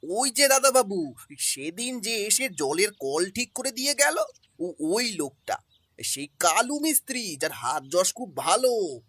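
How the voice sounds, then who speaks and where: Brief: a man doing a husky, bright-voiced servant in a radio drama, breathless and pleading, dry close mic over faint music. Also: sits high, very animated, unhurried, no echo, full character voice. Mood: urgent. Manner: urgent, stammering, deferential. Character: flustered male household servant, breathless and anxious. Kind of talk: audio drama acting.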